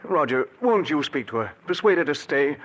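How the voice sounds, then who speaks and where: A desperate jealous husband. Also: male voice, on the high side, big pitch swings, moderate pace, fairly bright tone. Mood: pleading. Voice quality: pleading, emotional, theatrical. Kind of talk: 1940s radio drama acting. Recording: old radio broadcast.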